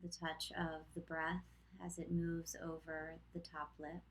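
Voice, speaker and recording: A woman teaching yoga nidra, leading a guided meditation in a calm, gentle manner with long pauses between short cues. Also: low-pitched, rough recording.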